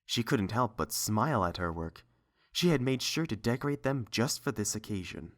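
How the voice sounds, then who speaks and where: A narrator reading a scripted story aloud as an audiobook, in a measured storytelling manner. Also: medium pitch, animated. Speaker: narrator and reader.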